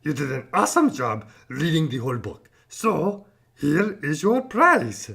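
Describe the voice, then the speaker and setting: Kids' channel host: gravelly, rough-edged, animated chat delivery. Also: medium pitch, measured pace. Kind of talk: children's video chat.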